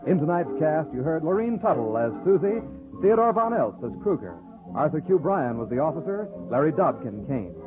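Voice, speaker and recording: A man's voice: on the high side, big pitch swings, normal speed. A man announcing in formal 1940s radio style, reading out the programme and credits. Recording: tinny, phone-like old radio recording. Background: theme music.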